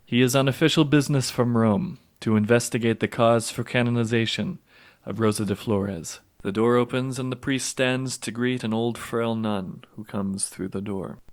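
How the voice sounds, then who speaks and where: Measured male audio drama narrator. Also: mid-range voice, steady tone.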